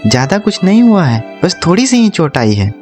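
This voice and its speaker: Woman as gentle village father, animated children's story, lowish voice with very lively pitch, reassuring manner, light music behind. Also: moderate pace. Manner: gentle, soothing, indulgent.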